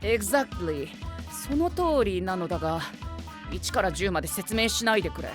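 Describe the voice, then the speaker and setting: A man playing a haughty, fussy young gentleman knight in an anime-style audio drama, boyish and theatrical, peppering his speech with English exclamations. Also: high voice, animated, normal speed. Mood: smug. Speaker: voice actor. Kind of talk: animated comedic character banter. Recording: studio voice over prominent background music.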